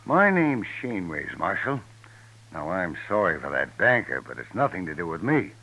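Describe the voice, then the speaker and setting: An actor playing a smug, cool card sharp in a 1950s radio drama. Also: male voice, sits low, sing-song, normal speed, full character voice. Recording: dull, lo-fi old radio broadcast.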